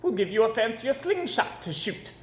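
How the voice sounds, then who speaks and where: A man's voice: extremely high pitch, very animated, moderate pace, a bit bright, voice pushed up, heavily acted. A man voicing a jolly, boastful male leader of a storybook people in a raised, hearty voice, in an echoey room. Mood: jovial.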